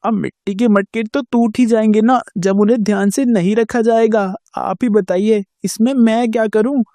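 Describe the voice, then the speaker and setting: A humble village potter from a cartoon fable, voiced by a man. He pleads in a mellow voice on a dry recording. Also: high-pitched, fairly even intonation, moderate pace, no echo. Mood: pleading. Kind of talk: Hindi cartoon moral story acting.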